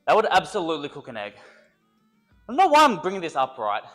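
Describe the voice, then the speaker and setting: A man's voice: a little high, wildly swooping pitch, fast talker, fairly bright tone, faint music behind. A young man, a personal trainer, chatting casually and joking while he works out, a little congested from a cold. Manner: rambling, joking, thinks aloud between sets. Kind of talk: casual workout vlog talk.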